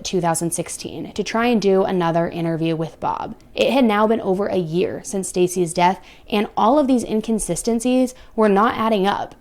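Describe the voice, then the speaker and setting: A young woman narrates a true crime case in a casual, conversational way. She often stops to speak straight to her viewers with blunt, exasperated comments. Her voice sits at an ordinary pitch for a woman. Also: a little mellow.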